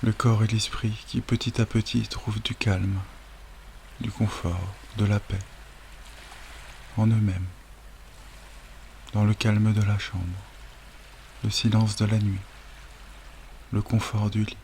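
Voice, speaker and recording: A man guiding a sleep meditation as a yoga nidra teacher, speaking calmly and soothingly with long, unhurried pauses. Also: on the low side. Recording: steady wave noise over the voice. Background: sound of ocean waves.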